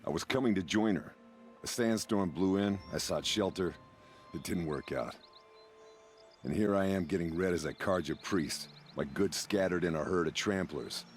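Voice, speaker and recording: An actor voices a hapless male trader and brewer in a video game. His voice is low, his delivery rueful and self-deprecating. Soft music sits behind him. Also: normal speed, natural voice. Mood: rueful, relieved.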